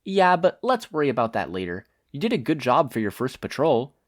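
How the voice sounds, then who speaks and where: A man acts out a breezy woman hero for a story reading. She is confident and encouraging, teasing in casual phrases, with a varied, lively pitch. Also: fairly quick, close to an everyday voice.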